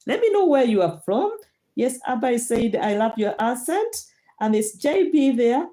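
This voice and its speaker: A woman's voice: on the high side, big pitch swings, measured pace, warm tone. A woman from Kenya with a Kenyan accent, hosting a casual livestream health talk and answering viewer comments, with many fillers and restarts. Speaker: livestream host. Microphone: phone or laptop livestream.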